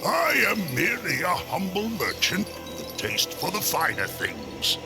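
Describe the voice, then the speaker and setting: A man voicing a sly undead merchant in a video game, unhurried, theatrical and knowing. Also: on the high side, wildly swooping pitch, heavily acted. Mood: wry, brooding. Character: sly undead merchant, last of a burned race. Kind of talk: video game cutscene acting. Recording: loud music under the voice.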